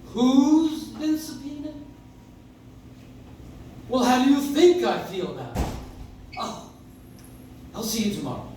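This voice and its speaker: Male actor as henpecked, exasperated attorney, radio play. Unhurried delivery, noisy audience recording. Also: very high-pitched, darkish tone, heavy reverb, distant mic. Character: exasperated, henpecked prosecutor and family man. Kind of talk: old-time radio play acting on stage. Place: live stage in a coffee house.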